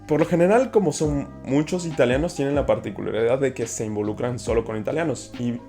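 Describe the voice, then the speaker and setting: A man from Ecuador with an Ecuadorian Spanish accent, explaining casually to camera in an animated, enthusiastic way. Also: medium pitch, brisk, soft background music. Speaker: host.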